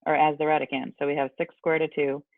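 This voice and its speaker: A man's voice: on the high side, little pitch movement, fast, creaky, no room sound. Scratchy-voiced man teaching math, tinny audio. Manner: chatty, rambling asides with laughs. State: scratchy from allergies. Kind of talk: casual online math lesson. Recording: dry, tinny phone-like sound.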